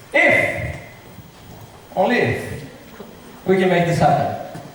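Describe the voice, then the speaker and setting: A young-sounding male actor as a high-voiced scheming prince, echoey hall. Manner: theatrical, declamatory. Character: genial, scheming prince playing matchmaker among his friends. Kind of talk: amateur stage acting, school drama club. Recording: echoey hall from far off, faint noise.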